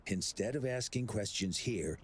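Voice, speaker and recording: A man's voice: lowish voice, expressive, moderate pace, a little hiss or hum, lightly acted. A man playing a helpful, knowing, slightly teasing young man in a video game. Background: game music.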